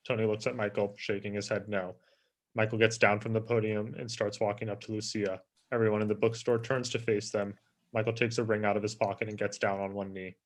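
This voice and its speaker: A man's voice: on the low side, flat delivery, a little fast. A man reading stage directions as scripted narration.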